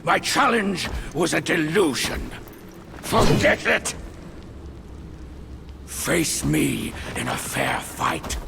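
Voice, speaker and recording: Brief: a vengeful demon boss for a game. High, wildly swinging, gravelly, drawn-out delivery over thick ambience. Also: male voice, sing-song, noisy background, heavily acted. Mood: defiant. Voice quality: gravelly, shouting. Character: savage male demon boss, boastful and vengeful. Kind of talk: video game boss cutscene acting.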